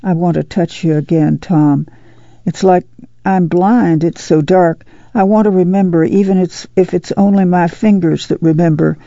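Woman acting a creaky, mellow-voiced mother, worried. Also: on the low side, steady tone, moderate pace, dry recording, dull audio. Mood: pleading. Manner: plain folksy speech, urgent and pleading. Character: worried, stern, loving old rural mother. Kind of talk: dramatized literary reading.

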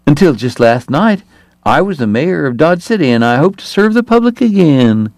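A man voicing a huge, falsely sincere male politician who speaks in a startling high voice with smooth, pompous oratory. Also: big pitch swings, normal speed, darkish tone, no room sound.

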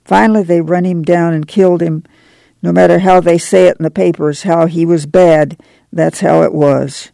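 A woman's voice: lowish voice, moderate pace, mellow, no room sound, natural voice. A woman voicing an earnest, protective middle-aged farm mother, homespun and confiding, in an audiobook. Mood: earnest, pained. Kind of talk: audiobook character acting.

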